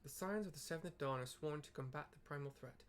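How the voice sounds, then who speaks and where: A man's voice: fairly high pitch, a little fast, slight background noise, close to an everyday voice. A man reading the lines of an earnest young male scholar aloud, in a voice close to his own. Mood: urgent. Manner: reads lines aloud in a light, near-natural voice.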